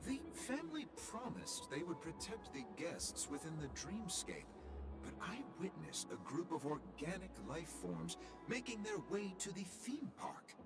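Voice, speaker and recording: A man playing an indignant, wary gentleman guest in a video game, speaking formally, sternly and insistently. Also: on the high side, wildly swooping pitch, a little slow, music in the background, heavily acted. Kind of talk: scripted video game dialogue.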